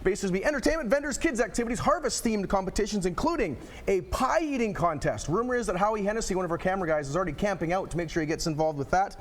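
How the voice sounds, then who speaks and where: A man's voice: high-pitched, expressive, quick pace, hardly any pauses. A man presenting an upbeat local TV magazine show in a chatty, jokey way. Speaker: TV show host.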